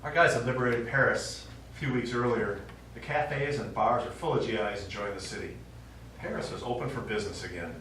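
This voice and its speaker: An actor playing an elderly, stubborn widower and war veteran, reminiscing in a wry, rambling way in a stage play. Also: male voice, mid-range voice, a little fast, a bit bright, close to an everyday voice. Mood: nostalgic. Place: small theatre stage, recorded from the audience. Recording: echoey small theatre, distant mic, faint noise.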